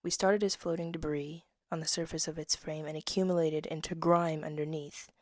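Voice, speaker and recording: Soft low-voiced young woman reading poems. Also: fairly even intonation, normal speed, dry recording, clean studio sound. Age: 20s. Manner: soft-spoken, drifts into whispers, laughs at herself. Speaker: poet reading her own work. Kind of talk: casual reading of old poems with asides.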